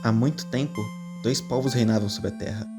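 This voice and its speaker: A man with a Brazilian accent reading scripted story narration in an expressive storyteller's manner. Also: medium pitch, a little fast, loud music behind the voice.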